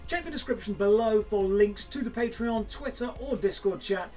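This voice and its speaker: A man in his thirties giving a scripted review to camera, talking in a measured, candid and direct way. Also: high-pitched, darkish tone. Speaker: video game reviewer. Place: indoors in front of a green screen. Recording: faint music under the voice.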